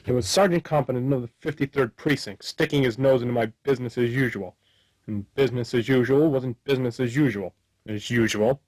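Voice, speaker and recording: An actor playing a flippant, absent-minded hard-boiled detective in a radio play, with a deadpan, wisecracking delivery. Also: male voice, mid-range voice, brisk, close to an everyday voice. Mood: wry, deadpan. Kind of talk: noir radio play narration and dialogue.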